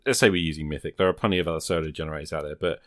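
A man's voice: a bass voice, rapid-fire, no echo, studio quality. A man hosting a podcast in casual chat, rambling encouragingly as he thinks aloud. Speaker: podcast host.

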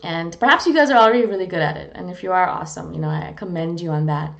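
A woman's voice: on the low side, fast talker, vocal fry. A woman from India, talking in a candid, earnest, self-deprecating way in a casual, reflective monologue as a vlogger. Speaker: vlogger on personality types.

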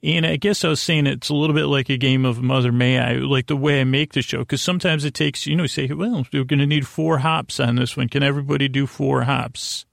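A middle-aged man hosting a bedtime podcast, rambling in a halting, stammering way with self-deprecating, gently silly asides and tangents. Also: medium pitch, steady tone, vocal fry, dry recording, studio quality. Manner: halting, stammering, repeats words and trails off into tangents. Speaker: podcast host.

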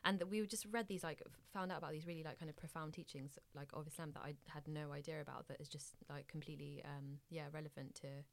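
Host, woman from Bangladesh; very low pitch, hesitant casual phrasing. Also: steady tone, fast talker, hardly any pauses. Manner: hesitant, frequent "like" and "um". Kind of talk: casual conversation.

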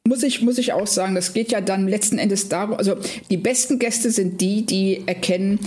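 A woman's voice: mid-range voice, brisk, darkish tone. A woman with a cold, a guest on a podcast, chatting casually with a few restarts and fillers. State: has a cold. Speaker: author and translator, podcast guest.

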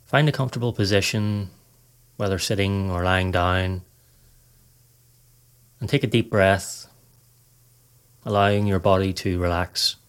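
A man narrating a scripted guided meditation as a podcast host, in a measured, instructional reading manner. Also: deep voice, fairly even intonation, clean tone.

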